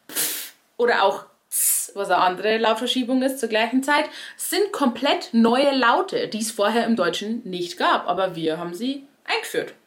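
A young woman with a Bavarian accent and a fairly high voice. She delivers scripted commentary like the stage performer she is, ironic and lively. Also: measured pace.

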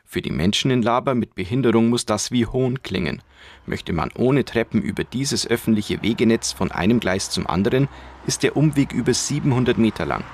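A man's voice: medium pitch, lively intonation, a little fast, slight background noise. A man narrating a scripted radio news report as a reporter.

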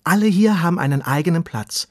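A man voicing a curious, restless young male earthworm who talks in a high, excited, pleading way in a children's bedtime story. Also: a little fast, dry recording, clean studio sound, close to an everyday voice.

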